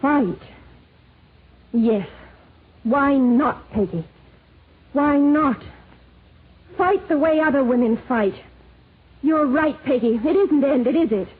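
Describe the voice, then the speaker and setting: An actress playing a poised, lovelorn but resolute society wife in a 1950s radio drama, speaking in an earnest, genteel way. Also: female voice, high-pitched, animated, takes it slowly, long pauses. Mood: newly resolute. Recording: tinny 1950s radio recording.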